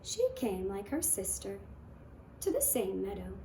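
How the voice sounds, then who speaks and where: A woman narrating a fairy tale for children in a deliberate, expressive storytelling manner. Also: medium pitch, gravelly. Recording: echoey room with faint noise.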